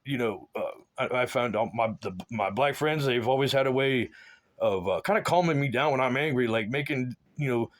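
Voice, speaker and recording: A man from South Florida talking in a rambling personal monologue, halting and full of restarts, often trailing into low mumbles. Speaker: man recounting his life.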